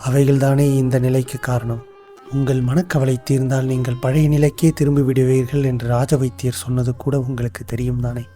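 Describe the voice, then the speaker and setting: A man acting a loyal, cautious royal minister in an animated folktale, with formal, measured court speech. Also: mid-range voice, close to an everyday voice. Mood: concerned, respectful. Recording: music under the voice.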